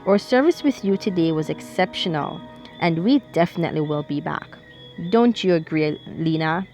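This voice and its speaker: A woman's voice: sits low, expressive, normal speed, darkish tone, soft background music. A woman voicing a courteous, suave adult man in a narrated romance story. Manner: courteous, smooth.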